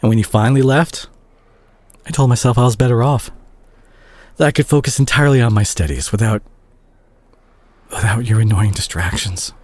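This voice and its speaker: A man playing a remorseful, guilt-ridden doctor ex-boyfriend in an intimate romantic audio drama, speaking haltingly and tenderly with a husky voice. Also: medium pitch, darkish tone, no echo, close to an everyday voice. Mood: bitter regret. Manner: halting, emotional, with sighs and repeated words. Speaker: audio roleplay performer.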